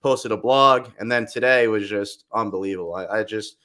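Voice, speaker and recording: Young male guest, loud and lively, low-ish voice, loose casual delivery. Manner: animated, quick bursts, lots of "like". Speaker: podcast guest. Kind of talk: casual chat.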